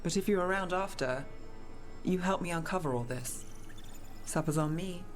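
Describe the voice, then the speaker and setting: A woman's voice: fairly low pitch, moderate pace, soft background music, close to an everyday voice. A woman acting a cool, businesslike investigator in a video game, with an offhand manner. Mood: cool, inviting.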